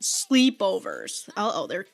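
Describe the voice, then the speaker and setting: A woman's voice: medium pitch, sing-song, slow, creaky, faint music behind. A woman giving casual, slangy, sweary and giggly reaction commentary as host of a reaction video.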